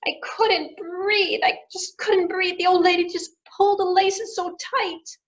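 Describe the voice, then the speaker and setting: A woman voicing a sweet, innocent young princess with a gentle, trusting manner. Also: extremely high pitch, steady tone, a little slow, pitched above the performer's own voice. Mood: shaken. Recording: dull lo-fi recording.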